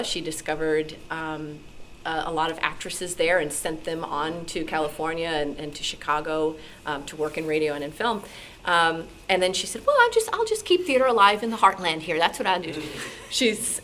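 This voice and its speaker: A woman with a slightly low voice tells personal stories to an audience. She is from New York City and animated, slipping into remembered dialogue as she goes. There is faint noise from the meeting room around her. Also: moderate pace. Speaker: radio drama actress and sound effects performer, guest speaker. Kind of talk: personal anecdotes told to a club audience. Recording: noisy room at a club meeting.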